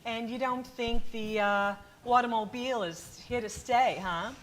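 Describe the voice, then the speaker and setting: A woman's voice: fairly high pitch, a little slow, lightly acted. A woman playing a sensible, long-suffering wife in old-time radio comedy style, wry and folksy. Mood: scolding, sarcastic. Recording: faintly noisy live stage recording.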